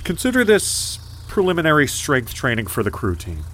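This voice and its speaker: Domineering rich father, middle-aged; mid-pitched, lecturing, contemptuous, audio fiction with light road noise behind. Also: huge pitch swings, moderate pace, fairly bright tone. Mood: cold, stern. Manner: cold, lecturing, commanding. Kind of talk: dramatic audio fiction dialogue. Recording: faint car and outdoor noise.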